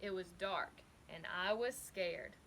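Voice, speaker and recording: A woman reading a children's story aloud expressively, with deliberate, dramatic emphasis. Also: lowish voice, vocal fry, a bit bright, slight background noise, rough recording. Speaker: storybook reader.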